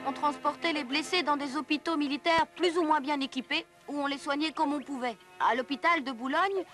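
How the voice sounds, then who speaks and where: A woman's voice: high voice, a little fast. A woman reading scripted narration for an educational cartoon. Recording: music behind the voice.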